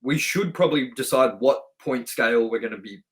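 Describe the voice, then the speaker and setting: A man's voice: mid-range voice, expressive, a little fast. A man co-hosting a movie review show, chatting informally and bluntly, with some swearing. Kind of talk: casual review chat.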